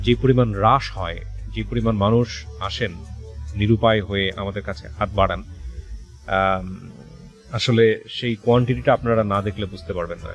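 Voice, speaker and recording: A man with a Bangladeshi accent hosting a radio show, speaking in a measured way with hesitant pauses as he interviews and addresses listeners. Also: lowish voice. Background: music under the voice.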